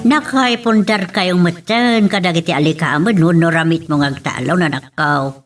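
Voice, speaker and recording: A woman's voice: lowish voice, moderate pace, recorded from a distance, soft background music, lightly acted. A woman with an Ilocano accent acting an earnest, admonishing mother figure in an audio drama. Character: concerned mother figure giving serious advice. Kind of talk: radio-style drama acting.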